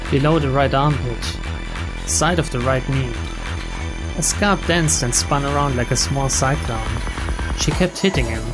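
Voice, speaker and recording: A man with a medium-pitched voice narrates a visual novel as a dramatic scripted read. Very loud game music plays behind him throughout. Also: poor audio. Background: loud background music.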